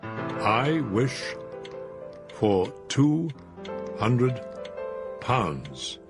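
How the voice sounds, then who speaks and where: A man doing an old father, quavering, high, slow, faint music behind. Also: animated. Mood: solemn. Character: shaken old father, timid and haunted.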